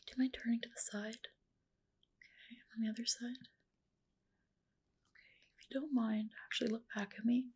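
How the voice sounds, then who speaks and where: A woman with a soft, breathy, whispering voice doing a gentle, reassuring ASMR roleplay as a spa practitioner, close to the microphone. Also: a little high, fairly even intonation, normal speed, pauses often. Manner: gentle, reassuring client-care talk. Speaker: ASMR creator playing a medical spa practitioner. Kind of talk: ASMR roleplay, personal attention.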